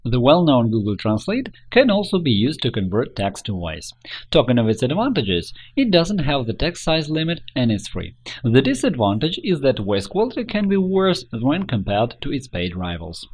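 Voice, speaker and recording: Man narrating tutorial, very animated pitch. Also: moderate pace, slightly rough. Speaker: tutorial presenter.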